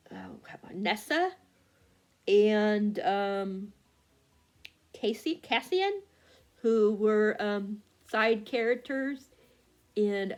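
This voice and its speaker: A woman talks as a book vlogger in a casual, rambling way, at a middling pitch. She is halting and scattered, often doubling back to correct herself. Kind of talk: casual rambling chat about books.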